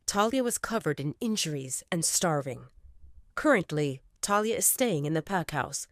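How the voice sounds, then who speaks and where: A woman's voice: on the low side, no room sound, clean studio sound, natural voice. Woman voicing a curt werewolf alpha. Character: stern young male alpha werewolf leader.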